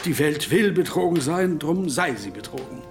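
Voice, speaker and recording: An actor playing an anguished, guilt-ridden, drunken monk in a historical radio drama, pleading and fretful. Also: male voice, on the high side, expressive, normal speed, over music. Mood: anxious, distressed.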